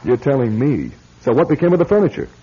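Guy playing a sarcastic grouchy salesman, old radio show, swoopy pitch, dull sound w/ big reverb. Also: sing-song, fast talker, close to an everyday voice. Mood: grumbling. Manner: gruff, sarcastic, complaining. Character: irritable, cynical, self-pitying salesman. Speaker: radio drama actor. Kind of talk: classic radio drama acting.

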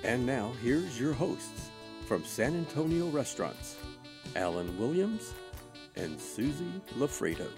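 A man's voice: mid-range voice, big pitch swings, measured pace. A man announcing a food podcast's scripted intro, in an announcer's delivery. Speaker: show announcer. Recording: intro over guitar music.

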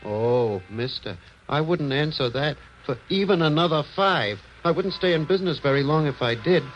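A man's voice: a little high, animated, moderate pace, close to an everyday voice. A man playing a sly, short street-corner informant, cagey and slangy with an American accent, in a 1940s radio noir drama. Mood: confiding, wary. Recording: muffled, noisy vintage radio recording.

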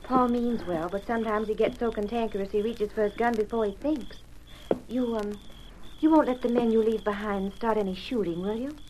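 A woman playing a gentle young rancher's daughter, hesitant and folksy, with an American accent in old radio western style. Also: a little high, brisk, lightly acted. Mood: earnest. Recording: noisy old radio recording.

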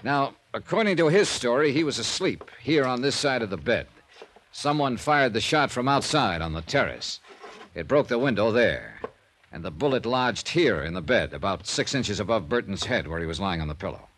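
An actor playing a brisk, wry freelance insurance investigator who narrates and talks in a dry, clipped way, in a 1950s radio drama. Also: male voice, medium pitch, very animated, fairly bright tone, slight background noise. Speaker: narrator and lead.

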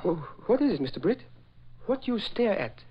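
A man's voice: sits high, sing-song, brisk, breathy edge, heavily acted. An actor playing a shrewd, deferential male valet in a 1940s radio drama, speaking polite clipped English with dropped articles. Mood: respectful, curious. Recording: dull old radio recording.

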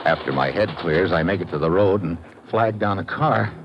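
An actor playing a tough, wry, wisecracking adventurer who narrates an old radio drama in clipped phrases. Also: male voice, sits low, expressive, moderate pace, lo-fi sound, noisy background. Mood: clipped, suspenseful. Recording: vintage radio with loud music behind.

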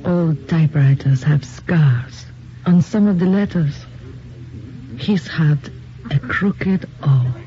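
A woman playing a grave, enigmatic Mexican widow with a blunt, measured manner, in a radio drama. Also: sits low, dark tone. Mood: guarded. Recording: muffled lo-fi radio drama, faint music.

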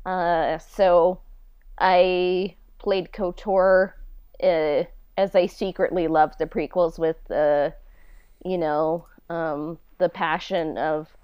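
A woman talking casually and haltingly in a podcast interview, as the show's creator answering questions. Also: fairly low pitch, fairly even intonation, clean tone, no echo, muffled recording. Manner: halting, conversational, frequent "like".

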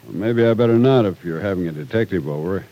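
A man's voice: deep voice, fast, highs cut off, natural voice. An actor playing a worried, fatherly, middle-aged small-town man in an old-time radio drama, folksy and admonishing. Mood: uneasy.

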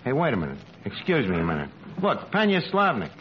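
On a noisy, muffled old radio recording with an audience, a wisecracking New tavern boss. His slangy, ungrammatical talk jumps up and down in pitch, in sardonic 1940s comedy style. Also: huge pitch swings, quick pace. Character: uneducated, wisecracking, exasperated barman running a tavern.

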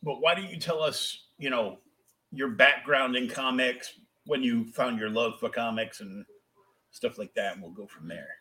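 A man with an average-pitched voice hosts a casual interview show. He puts his questions informally and haltingly, feeling his way through them. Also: pushed and bright, low-quality recording. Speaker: podcast host, interviewer.

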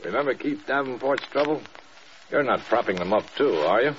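An American man playing an authoritative, shrewd senior general in a mid-century radio drama, measured and emphatic. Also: medium pitch, lively intonation, fairly bright tone, natural voice. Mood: probing, fatherly. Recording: muffled vintage radio with faint noise.

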